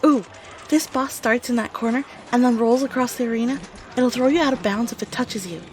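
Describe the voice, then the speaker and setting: A woman's voice: fairly high pitch, brisk, slight background noise, close to an everyday voice. A woman acting a playful young gamer on a date in an audio roleplay, teasing and blunt in a casual way. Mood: excited.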